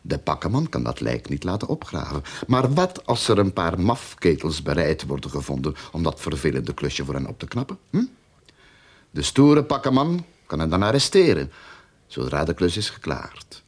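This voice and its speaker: An actor playing a sly, greedy detective in a radio drama, with a mocking, theatrical, mock-polite manner. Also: male voice, rumbling low, very animated, normal speed, slightly rough, heavily acted. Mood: smug, insinuating.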